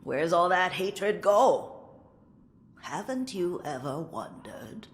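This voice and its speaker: Slow, bitter old hag. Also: female voice, fairly low pitch, lively intonation, background noise. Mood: bitter, resigned. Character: bitter, world-weary old hag, female. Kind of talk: video game cutscene acting.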